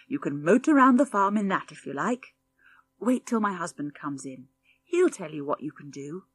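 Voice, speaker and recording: A woman's voice: on the low side, animated, moderate pace. A woman voicing a kindly, bustling farmer's wife and mother in a storybook, with a soothing, motherly manner. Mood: welcoming.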